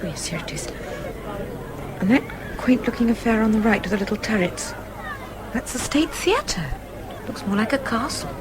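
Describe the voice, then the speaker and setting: An actress playing an earnest, excitable young Englishwoman in a radio play. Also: fairly high pitch, moderate pace, pauses often, close to an everyday voice. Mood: observant. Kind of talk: radio drama acting. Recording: loud music and crowd noise behind.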